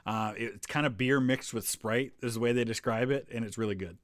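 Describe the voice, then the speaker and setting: A man's voice: medium pitch, a little fast, no echo. A man talking casually to a live chat audience, rambling from one point to the next with plenty of ums and restarts. His voice sits in a middle register and is recorded close and dry, with no room sound. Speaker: history channel host answering live chat. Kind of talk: casual live stream chat over travel photos.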